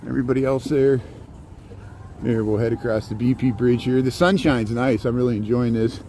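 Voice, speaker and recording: A man's voice: medium pitch, normal speed, mellow. Man from southern Indiana, chatty street livestreamer, rambling delivery, phone mic outdoors with faint traffic. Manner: rambling, chatty, answers viewer comments. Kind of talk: walking livestream commentary. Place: outdoors on cold city streets. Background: city traffic and street ambience.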